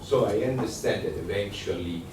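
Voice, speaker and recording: Italian man lecturing in an echoey room. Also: lowish voice. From Italy. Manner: conversational, halting, with many fillers and restarts. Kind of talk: workshop lecture with audience questions. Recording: echoey room, distant mic, noisy.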